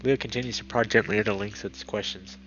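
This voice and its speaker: A man reading a visual novel aloud in expressive, dramatic narration, now and then stumbling over words. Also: fairly low pitch, fast talker, lo-fi sound, slight background noise.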